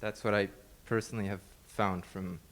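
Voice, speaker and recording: A young man, a student guest on an academic panel, explaining his research in a measured, thoughtful way. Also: on the low side, little pitch movement.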